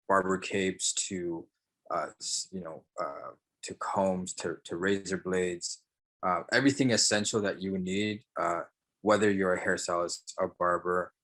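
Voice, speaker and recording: Very deep, flat-voiced man with halting speech. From Sri Lanka. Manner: halting, many fillers and restarts. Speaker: guest, barber and entrepreneur. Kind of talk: casual interview answers.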